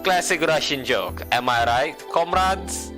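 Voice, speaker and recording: A young man reading a visual novel aloud, with playful, sarcastic asides and mock-dramatic slowdowns. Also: on the high side, lively intonation, over music. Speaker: game streamer.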